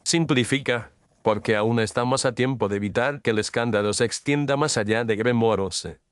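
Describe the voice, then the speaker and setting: A man playing a measured, incisive gentleman detective in an audio drama, speaking formally and deliberately. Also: medium pitch, smooth, no echo, lightly acted. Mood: cool, analytical. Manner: formal, deliberate, coolly accusing. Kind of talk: dramatized audio story acting.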